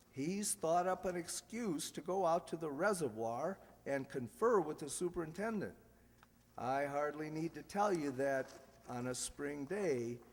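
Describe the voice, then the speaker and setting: A man announces and narrates in polished, scripted 1940s radio fashion. His voice sits a little high and carries the long reverb of a hall.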